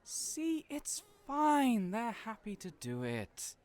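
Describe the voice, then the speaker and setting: A flamboyant hairstylist, put on by a man for an audio roleplay, with a voice pitched noticeably higher than the performer's own. His delivery swoops up and down, and he is fussy and playful, always teasing. He fusses over his client like a doting parent. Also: wildly swooping pitch, takes it slowly, darkish tone, no room sound, full character voice.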